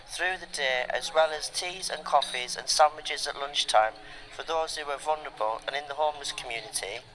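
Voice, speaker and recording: A man's voice: fairly high pitch, bright, forceful tone, slight background noise, poor audio. A man reading a scripted documentary narration. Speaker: narrator and interviewer.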